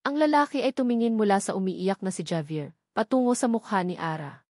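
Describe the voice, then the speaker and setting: A woman's voice: medium pitch, expressive, brisk, a little mellow, no room sound, studio quality. A woman reading a scripted story as a dramatic narrator.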